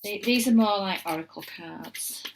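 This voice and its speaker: A woman's voice: mid-range voice, normal speed, vocal fry, very noisy. A middle-aged woman with a Yorkshire accent, giving a casual tarot reading at home and wandering into chatty asides. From England. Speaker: tarot reader.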